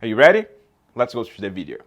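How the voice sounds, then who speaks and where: A man's voice: lowish voice, big pitch swings, quick pace, dull audio. A man from Brazil whose first language is Portuguese, hosting a YouTube channel and talking informally outdoors, often checking in with "okay?" and "right?". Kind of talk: casual outdoor vlog talk.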